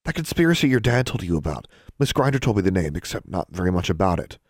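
A man voicing a stubborn, excitable schoolgirl with an insistent, dramatic way of talking, in an audiobook. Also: deep voice, quick pace, no echo, studio quality, full character voice.

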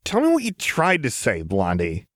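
A man's voice: medium pitch, huge pitch swings, a bit bright, dry recording, studio quality, pitched above the performer's own voice. A man voicing a lanky, manic young woman, teasing and awkward with affection, in a story reading. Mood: amused, insistent. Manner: teasing, halting when flustered.

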